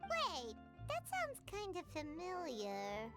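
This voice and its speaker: A woman voicing a tiny, eager, blunt fairy companion in a video game, with a squeaky childlike voice. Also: huge pitch swings, slow pace, full character voice. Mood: sheepish. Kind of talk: video game story dialogue. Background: prominent game music.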